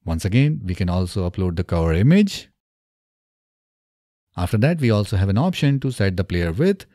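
A man in his thirties narrating a step-by-step software tutorial in a methodical, instructional way, close on a studio microphone. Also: deep voice, very animated, moderate pace, no echo. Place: small indoor home studio. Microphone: close studio microphone on boom arm.

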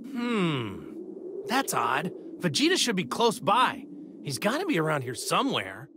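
A woman's voice: fairly low pitch, wildly swooping pitch, unhurried, noisy background. An actor playing a cheerful, plain-spoken male fighter hero in an anime video game, with a folksy, boyish, easygoing manner. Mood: puzzled. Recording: loud music under the voice.

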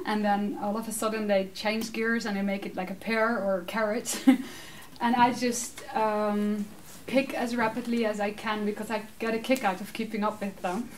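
A Dutch woman in her thirties answering audience questions in a casual, animated way, laughing often; English is her second language. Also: a little high. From Netherlands. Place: small room with curtained backdrop, seated. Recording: distant mic, faint room noise.

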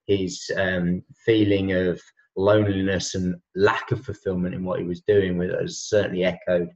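50ish guy on a video call, lowish voice, lots of ums and restarts, muffled laptop sound. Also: normal speed. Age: 50s. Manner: relaxed, chatty, many fillers and restarts. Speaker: health and wellness coach. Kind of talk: casual video call chat. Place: home room with shelves. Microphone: laptop webcam on a video call. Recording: dull lo-fi video call audio.

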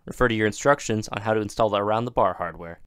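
A man giving scripted, even-toned narration for a product installation video, with steady instructional delivery. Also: on the low side, fast, clean tone, no echo, clean studio sound.

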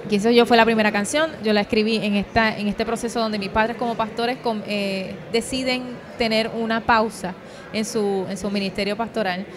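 A woman's voice: mid-range voice, level tone, normal speed. A young woman with a Puerto Rican accent giving an earnest, hesitant personal testimony in an interview, on a handheld microphone. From Puerto Rico. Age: young adult. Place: convention booth. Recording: crowd chatter at event.

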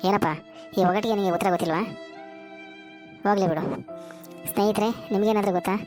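Woman voicing a fast-talking cartoon riddler, music behind. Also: medium pitch, steady tone, a little mellow, close to an everyday voice. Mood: playful, quizzing. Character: adult "uncle" posing riddles to a youngster. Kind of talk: comic cartoon skit dialogue.

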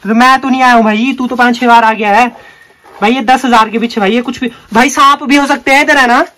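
A man's voice: extremely high pitch, a little fast, no room sound. Chatty young man vlogging on his phone. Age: young adult. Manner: excited, chatty, says bhai a lot. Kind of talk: casual challenge vlog. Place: outdoors on a green hillside and inside a narrow cave. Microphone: phone camera at arm's length.

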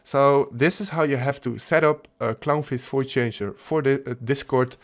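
A man narrating a casual software tutorial as its host, explaining step by step in a halting way. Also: mid-range voice.

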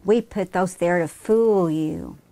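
A woman's voice: fairly low pitch, slow, mellow, voice pushed down. A woman voicing a bossy, scornful older sister in a children's story, exasperated and scolding.

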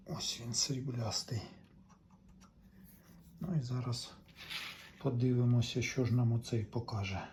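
A man giving casual hands-on repair commentary, talking to viewers in an unhurried way as he works. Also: mid-range voice, fairly even intonation, noisy background. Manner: unhurried, talks along while working, addresses viewers. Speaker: electronics repair video maker.

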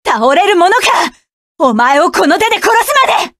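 Proud, scornful mech pilot. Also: female voice, very high voice, big pitch swings, moderate pace, a bit bright, no room sound, studio quality, exaggerated voice. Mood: desperate, furious. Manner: curt, commanding, scornful battle barks. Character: proud fierce young woman mech pilot, sharp-tongued. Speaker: voice actress. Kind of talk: game voice clip collection.

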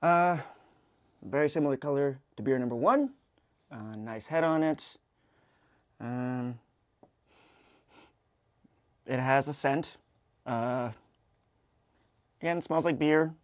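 Dry-sounding, halting man hosting. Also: medium pitch, dry recording. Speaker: host of a beer tasting show. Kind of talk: casual unscripted tasting commentary. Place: kitchen studio, indoors.

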